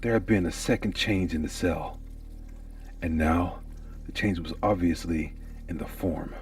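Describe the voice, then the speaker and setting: A man's voice: low-pitched, measured pace, a little mellow, far from the mic. A man giving a theatrical, anguished and intense first-person reading of a gothic horror story.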